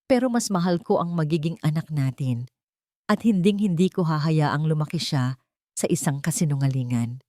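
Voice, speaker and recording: Woman acting resolute businesswoman and mother, Filipino accent, calm, firm, low voice, audio love story. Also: moderate pace, no room sound, clean studio sound. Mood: calm, sorrowful.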